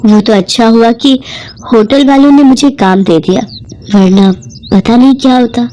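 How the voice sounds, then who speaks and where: A woman's voice: a little high, normal speed, dark tone, lightly acted. A woman voicing a poor, humble young hotel worker, earnest and deferential, in a cartoon moral story. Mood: wistful, sad.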